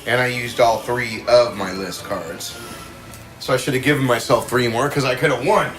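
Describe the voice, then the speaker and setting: Male podcast game host, jokey and informal, mid-pitched, faint music underneath. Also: normal speed, a bit bright. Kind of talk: casual banter, scorekeeping in a party game.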